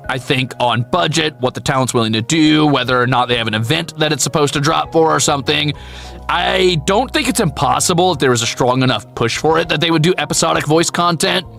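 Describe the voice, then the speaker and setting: A man's voice: mid-range voice, creaky, a bit bright. A man chatting casually on a livestream, candid and playful, swearing freely and poking fun at himself. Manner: candid, playful, profane, self-deprecating. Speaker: VTuber streamer and voice actor. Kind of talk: casual livestream chat answering viewers.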